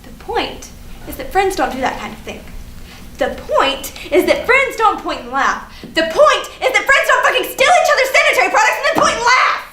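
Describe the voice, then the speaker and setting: A woman's voice: very high-pitched, sing-song, moderate pace, sharp tone, full character voice. A woman acting an indignant, hurt young woman obsessed with social media, in an emphatic staged reading. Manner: emphatic, repetitive, swearing. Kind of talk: theatrical staged reading of a comic play. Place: live staged reading in an echoey room. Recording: echoey, noisy stage recording from far off.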